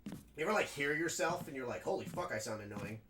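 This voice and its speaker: A man with a raspy, nasal New York accent, chatting casually as a game streamer while he plays. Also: medium pitch, lively intonation, a little fast, fairly bright tone, slight background noise. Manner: rambling, chatty, full of fillers. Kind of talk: casual gameplay commentary.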